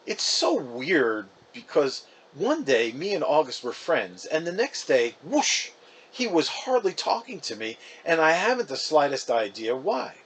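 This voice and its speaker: A man's voice: on the high side, big pitch swings, a little slow, fairly bright tone, heavily acted. A man reading a storybook as a chatty, wounded, defensive fifth-grade boy, casual and emphatic. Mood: baffled, huffy.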